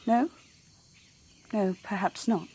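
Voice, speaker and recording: An actress playing a cool, self-possessed young woman in a radio drama, with a hushed, candid manner. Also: medium pitch, slow pace, breathy edge. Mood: candid, wistful. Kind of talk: intimate radio drama acting.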